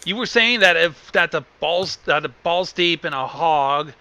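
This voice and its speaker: A man's voice: fairly high pitch, animated, unhurried, bright, forceful tone, clean tone, no room sound. A man chatting casually as a blunt co-commentator on a stream. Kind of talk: casual banter.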